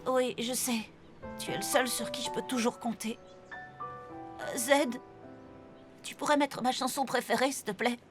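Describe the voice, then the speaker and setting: A woman's voice: on the high side, steady tone, measured pace, long pauses, music in the background, natural voice. A woman voicing a hurt, defensive and stubborn young boy in a cartoon, speaking earnestly. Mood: downcast. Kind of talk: animated series dub.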